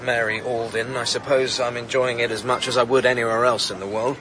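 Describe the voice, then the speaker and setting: An actor playing a bitter, resentful outsider in a radio drama, sardonic in manner. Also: male voice, medium pitch, level tone, fairly quick, background noise, lightly acted. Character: Latimer, bitter, resentful outsider young man. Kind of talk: BBC radio drama acting.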